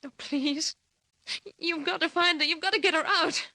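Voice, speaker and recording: A woman's voice: very high voice, fairly even intonation, normal speed, pushed and bright, no room sound, studio quality, full character voice. An actress playing a frightened young woman, pleading urgently, in an old radio crime drama. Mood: urgent, anxious. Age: young adult.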